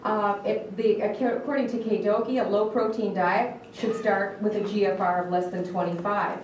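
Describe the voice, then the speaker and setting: A woman's voice: mid-range voice, steady tone, normal speed, darkish tone, slight background noise. A dietitian from Michigan, with a Michigan accent, gives an earnest talk and puts questions to a live audience, often restarting her words. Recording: echoey room picked up from a distance.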